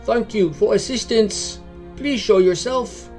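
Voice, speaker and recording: Man voicing an earnest, higher-pitched paladin with clipped speech. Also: slow pace, a little mellow, soft background music. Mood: shouting, formal. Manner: clipped foreign-style speech dropping articles. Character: earnest, self-righteous male paladin warrior.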